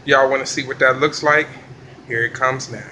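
A man, a chef filming a casual vlog in a commercial kitchen, talking in relaxed slang. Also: mid-range voice, moderate pace, slight background noise. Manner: laid-back slangy hype talk. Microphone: handheld phone camera.

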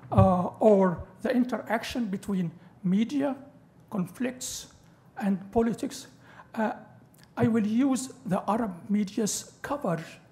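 A middle-aged man whose first language is Arabic, giving an academic lecture at a panel table in a large lecture hall, halting with fillers and restarts and adding joking asides. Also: high-pitched, warm tone. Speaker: guest lecturer. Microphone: table microphone.

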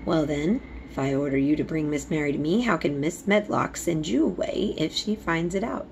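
Woman reading as a bossy young boy, creaky. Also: low voice, moderate pace, a little mellow, slight background noise, low-quality recording. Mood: imperious. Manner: commanding, grand. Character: imperious, frowning young invalid boy.

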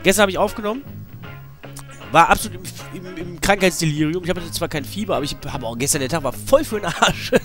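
A man's voice: fairly high pitch, quick pace, fairly bright tone. A man with a slight cold giving rambling, informal, slangy let's play commentary. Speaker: let's player. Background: video game music.

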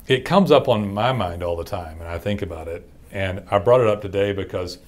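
A man's voice: deep voice, a little fast. A man in his forties co-hosting a business podcast, talking animatedly and mimicking clients in mocking voices. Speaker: podcast co-host. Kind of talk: casual business chat. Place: small studio with bookshelves, seated in armchair.

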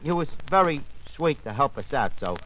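An actor playing a brash, bumbling tavern manager in an old-time radio comedy, mangling fancy words in streetwise, ungrammatical talk. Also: male voice, medium pitch, very animated, normal speed, a bit bright, slight background noise, low-quality recording. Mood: deflated, scheming.

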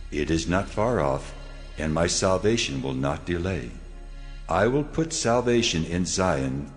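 God in a dramatized scripture reading. The voice is very deep, measured and full of solemn authority. Faint music runs underneath. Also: male voice. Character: God, solemn, commanding male deity speaking to his people.

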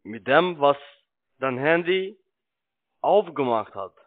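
Over a phone line, a man who is not a native speaker makes a pushy, halting sales call in broken grammar. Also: fairly high pitch, lively intonation. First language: not German. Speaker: cold-calling telemarketer. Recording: narrow tinny phone line.

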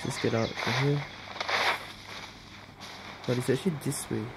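A woman's voice: rumbling low, fairly even intonation, unhurried, gravelly, heavy background noise. A woman giving casual gameplay commentary, murmuring to herself as she goes. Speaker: gamer playing and commenting. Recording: game music behind the voice.

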